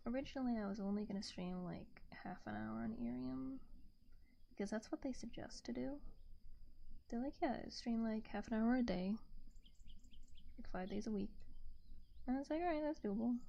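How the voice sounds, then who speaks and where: A woman livestreamer chatting casually and gently, rambling softly and at times slipping toward a whisper. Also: on the high side, moderate pace, vocal fry, pauses often, muffled recording, a little hiss or hum. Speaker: livestreamer, host of an art stream.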